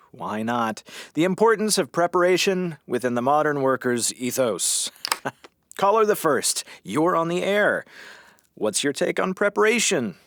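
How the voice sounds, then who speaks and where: An actor playing a weary, sarcastic small-town radio host with a halting, dry delivery in a comedy audio drama. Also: male voice, a little high, a bit bright, no room sound, full character voice. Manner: halting, dry, sardonic, awkward.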